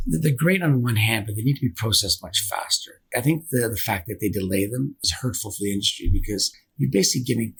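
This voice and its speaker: A man's voice: mid-range voice, vocal fry, a bit bright, slight background noise, low-quality recording. A man speaking as a company founder in a conversational interview, earnestly explaining his opinions at length. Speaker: business founder and director being interviewed.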